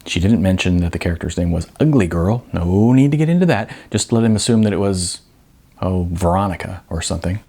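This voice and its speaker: Male audiobook narrator, lowish pitch, lively intonation, creaky edge, conversational, author reading his own fiction. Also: brisk.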